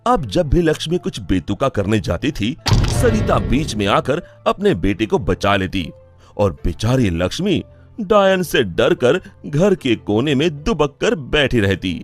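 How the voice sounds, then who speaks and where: Slow, animated male story narrator. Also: mid-range voice. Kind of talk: dramatic scripted story narration. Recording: music under the voice.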